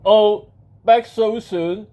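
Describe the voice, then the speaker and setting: Man voicing a slow, theatrical lady genie. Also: high-pitched, steady tone, warm tone, dull audio. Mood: grand, breezy. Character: grand, unhurried female genie, breezily unhelpful. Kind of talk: children's audiobook character voice.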